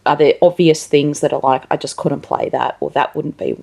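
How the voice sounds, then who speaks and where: A woman's voice: deep voice, moderate pace, gravelly, dark tone. A woman hosting a casual interview, asking informal questions built around examples. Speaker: interviewer, show host.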